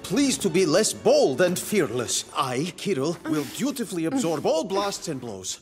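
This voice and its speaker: An actor playing a cautious, dutiful, protective attendant in a video game, with stilted, polite phrasing. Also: male voice, sits high, sing-song, unhurried. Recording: loud game music behind.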